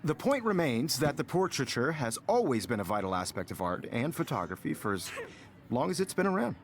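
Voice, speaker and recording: A self-assured academy teacher in a story game. His lecturing is articulate and wry, with a teasing edge and highly animated pitch at a medium height. There is noise in the background. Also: a little fast. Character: confident, teasing male photography teacher at an academy. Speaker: actor voicing a video game teacher. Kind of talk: classroom lecture in a narrative video game.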